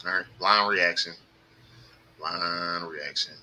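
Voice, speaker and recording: A man's voice: low-pitched, fairly even intonation, slow, bright, forceful tone, a little hiss or hum. A man hosting a podcast in casual chat, loose and joking, talking over his guests. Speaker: podcast host.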